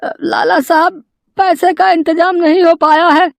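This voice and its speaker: A woman's voice: very high voice, fairly even intonation, vocal fry, no room sound, studio quality, voice pushed up, full character voice. A woman voicing an anxious poor farmer, a pleading father in a cartoon moral story. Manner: pleading, worried, drawn-out.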